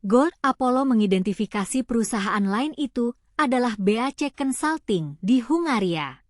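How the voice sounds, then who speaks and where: A woman narrates a scripted news report. Her voice sits fairly high, and the sound is dry, with very little room around it. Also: animated, moderate pace, no echo.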